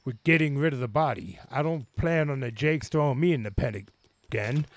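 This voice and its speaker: A man's voice: medium pitch, animated, a little fast, no room sound, lightly acted. A man voicing a callous young boy pig in a fan fiction reading, blunt, sweary and dismissive. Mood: exasperated. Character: callous, foul-mouthed young boy pig, bored and bullying.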